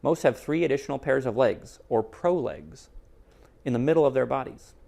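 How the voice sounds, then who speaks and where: A man reads as a proud little inchworm from a storybook. The voice has a creaky edge and explains things precisely, with calm self-assurance. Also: medium pitch, moderate pace. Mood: proud, matter-of-fact. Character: proud, precise inchworm caterpillar fond of measuring. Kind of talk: children's picture book character reading.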